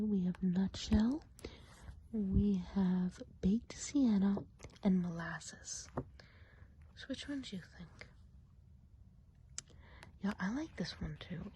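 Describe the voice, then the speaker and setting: A woman voicing a chatty woodland witch in an ASMR roleplay, whispering softly and breathily in a gossipy, confiding way. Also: medium pitch, a little hiss or hum, lightly acted, poor audio. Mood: dreamy, musing. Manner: gossipy, confiding, hesitant casual phrasing. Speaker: ASMR performer.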